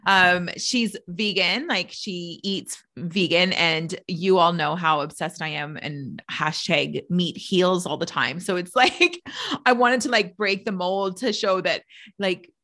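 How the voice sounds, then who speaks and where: Chatty podcast host woman, lower voice. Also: slow pace, bright, forceful tone. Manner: chatty, laughs often, many "like" fillers. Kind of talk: casual podcast interview.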